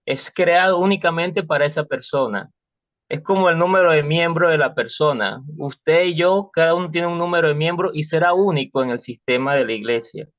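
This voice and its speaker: Venezuelan man, class participant, earnest, somewhat high voice, dull lo-fi line. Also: normal speed, no room sound. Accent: Venezuelan Spanish. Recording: dull lo-fi call audio.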